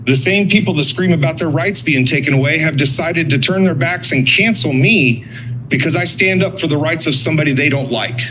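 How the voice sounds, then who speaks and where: Bright-voiced, accusatory man at a public meeting. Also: a little high, a little fast, slight background noise, low-quality recording. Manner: accusatory, blunt, sarcastic, profane. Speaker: member of the public giving public comment. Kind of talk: confrontational public comment at a civic meeting.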